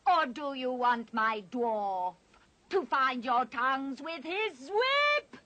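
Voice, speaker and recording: Cruel witch queen, piercingly high, sweeping slow delivery, contemptuous, animated villain. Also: exaggerated voice. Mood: haughty, menacing. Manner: haughty, commanding, contemptuous. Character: imperious, cruel witch queen, quick to rage. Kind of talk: theatrical animated fantasy acting.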